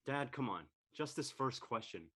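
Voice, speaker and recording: A man's voice: mid-range voice, expressive, normal speed, fairly bright tone, breathy edge. A man playing an exasperated, snarky teenage son in an audio drama.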